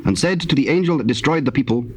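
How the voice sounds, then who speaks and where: A man narrating dramatized scripture in a measured, formal manner. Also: medium pitch, expressive. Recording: faintly noisy old cassette tape.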